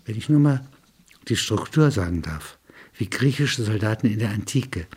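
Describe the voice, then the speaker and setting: A man's voice: lowish voice, lively intonation, normal speed, a little mellow, husky. A man narrating in a literary register, as a reader and commentator.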